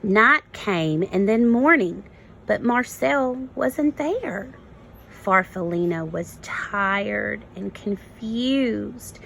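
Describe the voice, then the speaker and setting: A woman kindergarten teacher reading a story to young children, expressive and instructive, prompting them with questions. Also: medium pitch, drawn-out words, vocal fry, slight background noise. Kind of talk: read-aloud lesson for young children.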